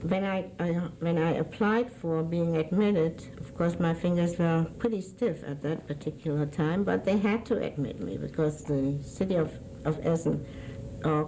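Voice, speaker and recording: A woman in her sixties with a German accent speaks in a low, mellow voice. She is giving an oral history interview and often hesitates and hunts for the right word. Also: moderate pace, a little hiss or hum, poor audio. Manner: hesitant, searching for words.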